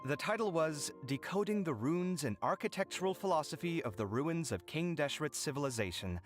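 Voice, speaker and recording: A man's voice: fairly high pitch, expressive, a little fast, close to an everyday voice. An actor playing a dramatic, earnest young man in an anime game, theatrical and articulate. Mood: wry. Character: dramatic young male architect, debt-ridden, earnest daydreamer. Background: game music.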